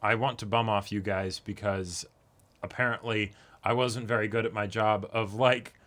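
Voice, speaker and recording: A man's voice: lowish voice, fairly even intonation, normal speed, a bit bright. A man co-hosting an anime reaction show, chatting casually, checking in with "you know" and "right" and quoting imagined lines. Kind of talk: casual discussion of an anime episode.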